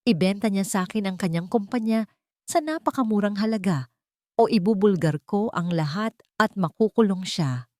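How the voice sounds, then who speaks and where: A woman's voice: mid-range voice, expressive, normal speed, darkish tone, no room sound, clean studio sound, pitched above the performer's own voice, lightly acted. A woman voicing a powerful older billionaire father in an audio story, grave and confessional, full of regret and protectiveness. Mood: grave, confessing.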